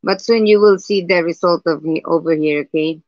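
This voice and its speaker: A woman with a husky voice hosting a live painting tutorial, talking slowly and hesitantly with many fillers and restarts. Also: fairly low pitch, a little mellow, no echo. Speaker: art livestream host. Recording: dull lo-fi livestream audio.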